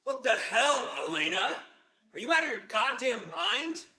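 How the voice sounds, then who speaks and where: A man's voice: very high-pitched, lively intonation, moderate pace, pushed and bright, slightly rough, no room sound, full character voice. A man playing an angry, arrogant rich ex-husband in an audio drama, menacing and scornful. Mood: furious.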